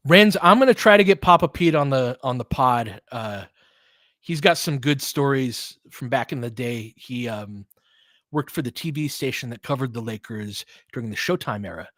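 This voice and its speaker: A young man hosting a casual live game stream, giving play-by-play and chatting with viewers by name in a relaxed, conversational way. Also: medium pitch, moderate pace, no room sound, studio quality. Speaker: host of a live game watch-along stream.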